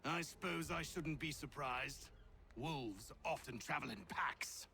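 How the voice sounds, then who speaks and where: Game villain general: British, higher-pitched forceful voice, imperious, slow theatrical menace. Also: male voice, a little hiss or hum. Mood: curt contempt. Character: arrogant, hot-tempered British general, scornful and menacing. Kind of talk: dramatic video game cutscene acting. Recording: music behind the voice.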